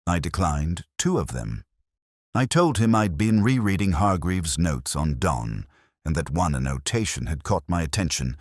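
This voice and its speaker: A man playing a brooding, melancholy police detective, narrating his story in a measured, solemn, literary manner. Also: extremely low pitch, no echo, studio quality, exaggerated voice.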